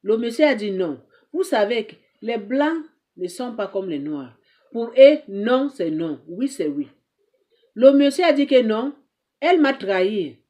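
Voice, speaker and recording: A woman's voice: on the high side, big pitch swings, measured pace, warm tone. Emphatic preachy woman. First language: not French. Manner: emphatic, preachy, repeats herself, rhetorical questions. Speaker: advice show host. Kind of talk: advice monologue to mothers.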